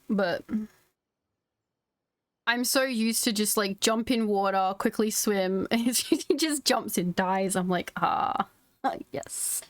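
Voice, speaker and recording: A woman's voice: mid-range voice, dry recording. A woman streaming a video game, chatting casually with her viewers as she plays. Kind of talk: casual live stream commentary.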